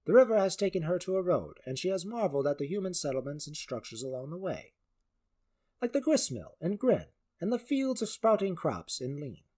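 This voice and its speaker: A man's voice: high voice, sing-song, a little fast, warm tone. A man narrating a scripted fantasy story as its storyteller.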